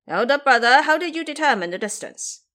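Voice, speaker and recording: A woman voicing a blunt, excitable, grumbling male pig disciple in an audio drama. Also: high-pitched, a little fast, voice pushed up. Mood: puzzled.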